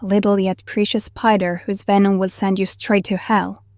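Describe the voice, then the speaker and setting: A woman's voice: medium pitch, flat delivery, normal speed, darkish tone, no echo, close to an everyday voice. Cool, teasing woman survivor, acted, dry sound. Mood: wry. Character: sardonic, soothing woman survivor, handy healer. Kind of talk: audio drama acting.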